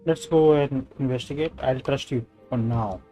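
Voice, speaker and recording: A man's voice: medium pitch, normal speed, warm tone. A man with an Indian accent, a native Hindi speaker, casually streaming a game and reading its text aloud. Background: game music.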